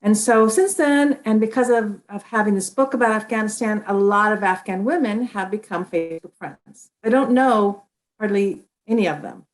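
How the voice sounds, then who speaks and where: A woman's voice: medium pitch, moderate pace, rough recording. A middle-aged woman, an author being interviewed, talking earnestly in a conversational way with frequent restarts.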